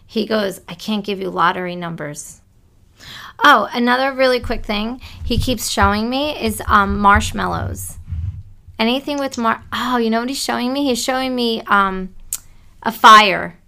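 Woman psychic medium, gravelly and bright, halting relayed messages. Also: fairly high pitch. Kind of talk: psychic reading.

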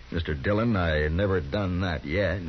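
An actor playing a cocky, mocking outlaw gang leader in a 1950s radio drama. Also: male voice, sits low, dull audio, slight background noise. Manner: mocking, smug.

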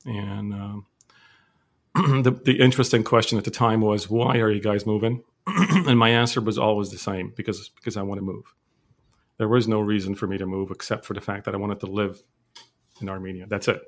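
A man in his forties from Lebanon, telling his life story as a guest in a casual interview, steady and measured with frequent fillers. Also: fairly low pitch.

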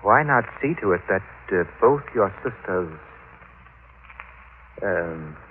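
An actor playing a servile, coldly calculating butler in an old radio drama, deferential and insinuating. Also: male voice, low voice, lively intonation, slow pace. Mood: insinuating, scheming. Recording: tinny, noisy old radio recording.

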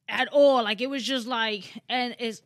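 A woman's voice: a little high, steady tone, unhurried, dry recording. Rambling young woman, dry close sound. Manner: rambling, heavy on "you know" and "like", jokey asides. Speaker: podcast guest, spiritual speaker. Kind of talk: casual chat.

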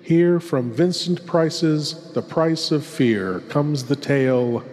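In a long, echoing hall, a ghoulish lord played by a man presents old-time radio horror. He speaks very slowly and theatrically, courtly and sinister, with ornate, deliberate phrasing. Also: on the high side, darkish tone. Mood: grave, ominous. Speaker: horror show host.

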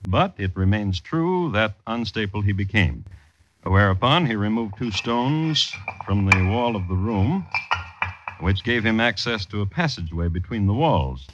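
A man narrating an old-time radio crime drama as a droll storyteller, measured, formal and dry. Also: fairly low pitch, lively intonation, fairly bright tone, slight background noise. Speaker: narrator and host. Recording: dull old radio recording, music behind.

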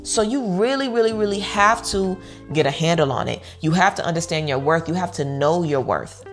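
A woman with an American accent and a fairly low voice talks like an online advice host. She gives a blunt, informal pep talk, repeating phrases for emphasis. Soft music plays faintly behind her. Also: animated, fairly quick. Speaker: online community host giving self-worth advice.

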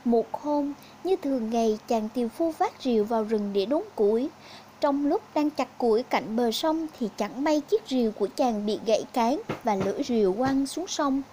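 A woman's voice: fairly high pitch, lively intonation, normal speed, warm tone, slight background noise. A woman narrating scripted fairy tales for young children as a storyteller.

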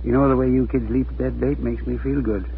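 A man's voice: mid-range voice, fairly even intonation, quick pace, close to an everyday voice. An actor playing a good-natured middle-aged family father in a 1940s radio drama, homely and reassuring. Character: good-natured, steady family father running a service station. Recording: tinny, noisy 1940s radio recording.

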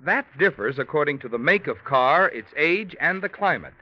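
Lively male announcer on muffled old radio. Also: on the high side, moderate pace. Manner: polished, persuasive. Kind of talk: 1940s radio commercial announcing. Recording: dull vintage radio recording.

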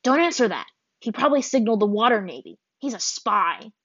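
A woman's voice: medium pitch, lively intonation, normal speed, a bit bright, no room sound, highs cut off. A woman playing a curt, suspicious teen swordsman. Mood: dismissive. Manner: curt, dismissive. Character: stubborn, suspicious teenage boy swordsman.

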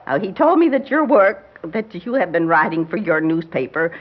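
Genteel grieving grandmother, older, hesitant and defensive, lively intonation, dull classic-radio recording. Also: lowish voice, normal speed. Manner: prim, hesitant, evasive. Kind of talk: classic radio drama acting.

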